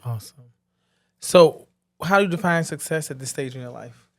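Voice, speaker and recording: A man's voice: on the high side, normal speed, no room sound. A man hosting an interview, asking questions and offering short, encouraging affirmations. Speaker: podcast host.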